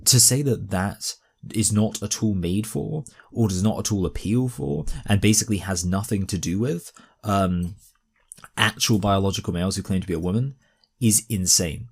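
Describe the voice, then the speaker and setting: Deep-voiced man arguing in commentary. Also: normal speed, creaky, husky. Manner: emphatic, many fillers and restarts. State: slight cough. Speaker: commentary host. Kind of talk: argumentative commentary on a video.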